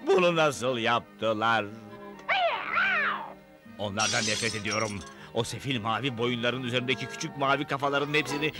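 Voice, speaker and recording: A man's voice: mid-range voice, lightly acted. A man voicing a wicked, menacing cartoon wizard in a theatrical, spiteful manner. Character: wicked, ranting wizard villain who hates small blue creatures. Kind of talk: animated cartoon dub. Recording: loud music under the voice.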